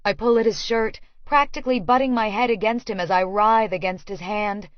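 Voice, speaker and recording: A woman's voice: medium pitch, steady tone, moderate pace, dry recording, dull audio. A woman narrating a first-person romance audiobook.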